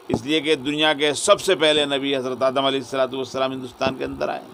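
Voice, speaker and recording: A male cleric answering in an interview, speaking reflectively in short phrases with pauses. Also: on the high side, a bit bright. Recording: faint vehicle and ambient noise.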